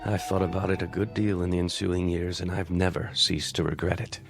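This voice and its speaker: A man's voice: low-pitched, flat delivery, brisk, gravelly, over music. An actor playing a stern, embittered old businessman in an audio drama, speaking formally with precise, disdainful diction. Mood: contemptuous.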